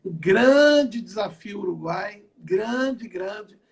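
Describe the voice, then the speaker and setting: Older man, high voice, gravelly, repetitive, video call. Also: expressive, very slow. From southern Brazil. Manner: repetitive, stacks and restarts phrases. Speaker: apostle and pastor. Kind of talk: interview testimony. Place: at home.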